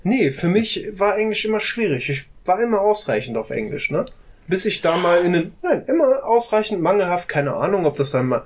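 A man's voice: high-pitched, sing-song, fairly quick. Talkative man tagging on "ne?". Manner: talkative, often adds a questioning "ne?". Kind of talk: casual group discussion.

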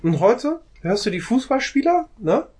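A man's voice: very high voice, very animated, normal speed, a little mellow, breathy edge. A man in a casual group discussion, talking at length and often ending phrases with a questioning "ne?". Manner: talkative, often adds a questioning "ne?".

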